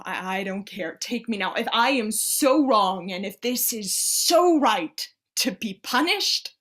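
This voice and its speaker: An actress playing an anguished, devout woman who pleads with and challenges God in halting, impassioned speech, in a staged play reading. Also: on the high side, heavily acted. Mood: defiant, anguished. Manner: halting, impassioned, swinging between hushed and pleading.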